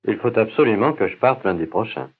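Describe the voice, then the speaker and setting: A man playing a polite patient with flu in a scripted language-course dialogue, speaking slowly and clearly, each line said twice. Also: lowish voice, animated. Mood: tired. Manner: slow, careful, clear diction. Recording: tinny, narrow-band old course recording.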